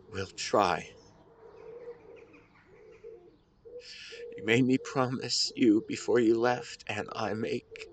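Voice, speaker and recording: A man playing a grieving, tender husband in an intimate audio drama monologue, speaking haltingly and earnestly to his late wife. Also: medium pitch, a little hiss or hum. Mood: sad, resolute. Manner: halting, earnest, emotional delivery with pauses. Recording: outdoor ambience with birdsong behind. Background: birdsong and nature ambience.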